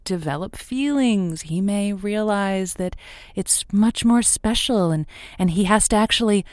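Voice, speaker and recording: A woman's voice: mid-range voice, unhurried, no room sound, clean studio sound, lightly acted. An actress playing an effusive, coaxing female radio host who gives chatty, encouraging romance advice in an audio drama. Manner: effusive, coaxing, pet names for listeners. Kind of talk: semi-improvised audio drama, late-night call-in radio host.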